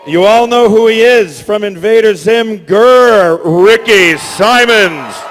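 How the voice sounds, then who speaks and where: High-voiced male host in an echoey hall. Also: takes it slowly. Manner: hyping the crowd, projecting loudly. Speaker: panel host and creator. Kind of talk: live convention stage announcing. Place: large convention hall stage. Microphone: stage microphone through PA. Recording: echoey convention hall PA, long reverb.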